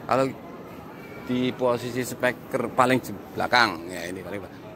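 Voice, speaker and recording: A man giving an improvised walk-through review, explaining in a halting, repetitive way as he points things out, recorded on location. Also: medium pitch, crowd noise. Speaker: audio reviewer and vlog host. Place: inside a large mosque, walking around.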